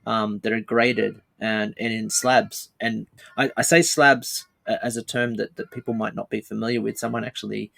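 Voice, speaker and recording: Gravelly male podcast host. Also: lowish voice, steady tone, moderate pace, hardly any pauses, fairly bright tone. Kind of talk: casual interview chat.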